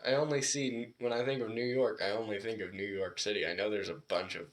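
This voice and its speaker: Lowish voiced guy from california, easygoing podcast chat. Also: fairly even intonation, fairly quick. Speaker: podcast co-host. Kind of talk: casual chat.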